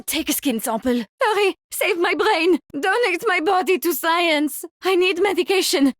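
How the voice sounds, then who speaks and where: An actress playing a giddy, macabre mad-scientist fungus doctor in a video game, theatrical and genteel, with a French accent. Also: female voice, very high voice, animated, normal speed, dry recording, studio quality. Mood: pained, dramatic. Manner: theatrical, gleefully morbid, genteel wording. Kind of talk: video game hero voice lines.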